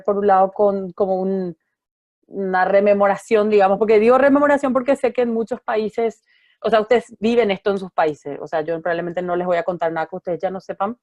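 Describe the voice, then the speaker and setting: A hoarse woman still getting her voice back gives an informal lecture to a class over a video call. She works through her ideas with plenty of fillers and restarted phrases. The audio is dull and dry, with no room sound. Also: medium pitch, a little mellow, no room sound. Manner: thoughtful, many fillers and restarts. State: hoarse, recovering from voice loss. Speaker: lawyer giving an online talk.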